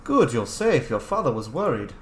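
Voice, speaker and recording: An animated man hosts a roleplay game playthrough, his pitch jumping around as he reacts. The room echoes and there is faint noise behind him. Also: moderate pace. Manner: animated, reacts aloud, swears casually. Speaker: gaming video host. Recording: roomy echo, faint background noise.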